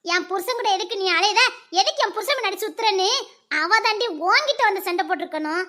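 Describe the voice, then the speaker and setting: A woman's voice: very high voice, expressive, fast talker, bright, forceful tone, heavily acted. An actress playing a mother who scolds her daughter and preaches about bad company at length. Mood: scolding. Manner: scolding, moralizing, in long proverb-like sentences. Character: stern mother lecturing her grown daughter.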